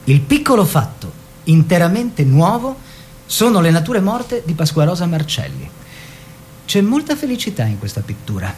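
A theatrical man of the arts world in a radio play. He declaims and teases with irony, his pitch swooping widely, over faint noise and rumble. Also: huge pitch swings, moderate pace, a little mellow. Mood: declamatory. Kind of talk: theatrical narration and dialogue for radio.

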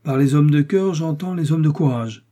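A man reading an audiobook, voicing a powerful, scheming older cardinal who speaks in a smooth, persuasive, lofty way. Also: fairly high pitch, moderate pace, mellow, voice pushed up, close to an everyday voice.

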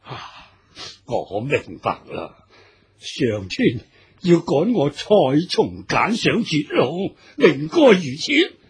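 A man playing an anguished old father-in-law in a Cantonese radio folk drama, halting, wailing and melodramatic. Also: fairly high pitch, wildly swooping pitch, breathy edge, heavily acted. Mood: anguished despair. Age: older.

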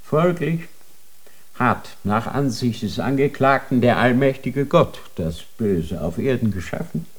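A man's voice: medium pitch, lively intonation, measured pace, natural voice. A man playing a stern, formal inquisitor. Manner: formal, cold, interrogating. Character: stern male inquisitor of a holy tribunal. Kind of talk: radio drama acting.

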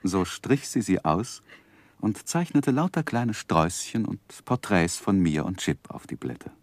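An actor playing an earnest, tender gentleman who narrates his life in a gentle, reflective, expressive way in a 1960s German radio play. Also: fairly low pitch, a little slow, dry recording. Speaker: first-person narrator and lead.

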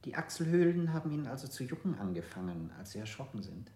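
A polite, deep-voiced questioner. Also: female voice, very animated, quick pace, echoey room, very noisy. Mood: formal. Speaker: questioner in a radio play.

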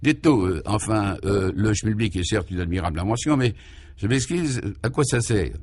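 A man's voice: lowish voice, expressive, normal speed, a little mellow, slight background noise, lightly acted. A man voicing an earnest, polite reporter who asks probing questions with some hesitation in a comic radio serial. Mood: hesitant.

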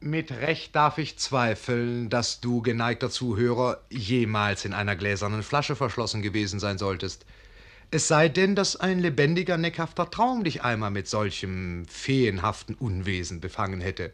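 A man's voice: medium pitch, moderate pace, a bit bright, close to an everyday voice. A man narrating a radio drama as a storyteller, in a wry, ironic manner that addresses the listener.

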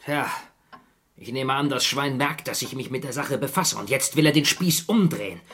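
An actor playing a frightened, defensive amateur sleuth in a radio crime drama, speaking haltingly and earnestly. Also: male voice, mid-range voice, a bit bright, slightly rough. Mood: nervous, defensive.

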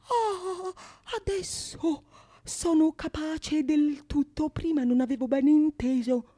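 A woman's voice: high-pitched, slow pace, warm tone, voice pushed up. A woman playing a dim, gullible husband in a theatrical comedy monologue, drawing out the words. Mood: dawning understanding.

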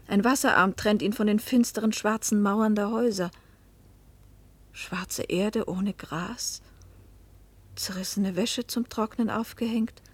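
A pensive middle-aged woman telling her own story in a German radio play. Her voice is husky and sits at a medium pitch. She speaks in a measured, literary way, at times sinking toward a whisper. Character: pensive 41-year-old American wife and mother recounting a fateful journey.

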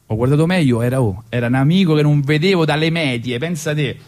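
A man's voice: fairly high pitch, quick pace, darkish tone. A man with a Roman accent hosting a radio show, telling comic stories in an ironic, rambling way with lots of asides. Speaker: radio host. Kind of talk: comic storytelling monologue. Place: radio studio.

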